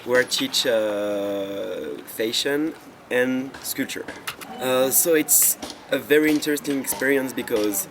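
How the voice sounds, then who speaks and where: A man with a mid-pitched voice introduces himself haltingly, with many fillers. Clear outdoor noise is audible behind him. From Paris. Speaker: artist and teacher.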